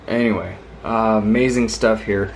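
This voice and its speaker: Low-voiced man with noisy background. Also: steady tone, normal speed. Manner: enthusiastic, marveling, rambling. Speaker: vintage radio hobbyist presenting a video. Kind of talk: informal hands-on show-and-tell commentary.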